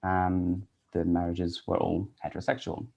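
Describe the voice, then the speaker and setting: A man in his thirties from Wales, a teacher and researcher giving a prepared conference talk in a measured, read-out way with soft "um" fillers. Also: sits low, level tone, gravelly, lo-fi sound.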